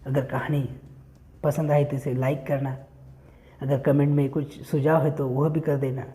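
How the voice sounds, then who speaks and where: A man's voice: medium pitch, level tone, normal speed, warm tone. A man telling a moral story and addressing listeners directly, in simple, repetitive, explanatory phrasing. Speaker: storyteller and channel host.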